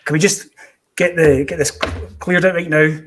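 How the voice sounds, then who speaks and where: A man's voice: fairly high pitch, fairly even intonation, moderate pace, a bit bright, slight background noise. A man hosting a casual podcast chat, bantering as he puts listener questions to his guests. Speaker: podcast host.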